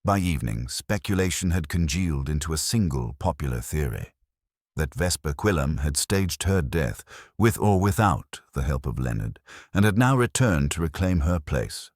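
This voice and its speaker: A man's voice: a bass voice, a little mellow, slightly rough, no echo, clean studio sound. A man narrating a scripted mystery story as a storyteller.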